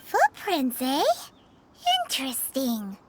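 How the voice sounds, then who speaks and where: Squeaky, tiny, excitable fairy girl. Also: slow, a little hiss or hum, exaggerated voice. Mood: intrigued. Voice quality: squeaky, childlike. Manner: perky, expressive, cheeky. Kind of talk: English video game voice acting.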